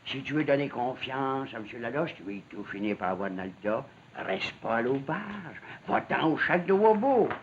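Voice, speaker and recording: A man's voice: medium pitch, big pitch swings, moderate pace, hardly any pauses. An actor playing a fatherly, meddling old woodsman who talks in emphatic, folksy Quebec French in a period television drama. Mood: insistent, advising. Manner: folksy, advice-giving, emphatic. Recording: muffled, hissy old 1950s television soundtrack.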